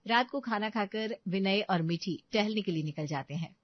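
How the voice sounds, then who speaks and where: A woman's voice: medium pitch, normal speed, no echo, muffled recording. A woman narrating a scripted Hindi bedtime family story.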